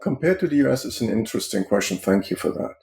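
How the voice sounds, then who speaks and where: A middle-aged man from Germany, a healthcare consultant, answering interview questions in a measured, explanatory way with frequent fillers. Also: mid-range voice, lively intonation, gravelly, a little mellow.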